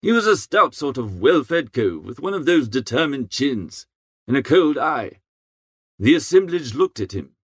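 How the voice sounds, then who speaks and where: A man reading a comic story in the first person, chatty and wry, in the manner of an idle gentleman. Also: mid-range voice, sing-song, a little slow, slightly rough, no room sound, clean studio sound. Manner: chatty, wry upper-class idler's phrasing. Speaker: audiobook narrator.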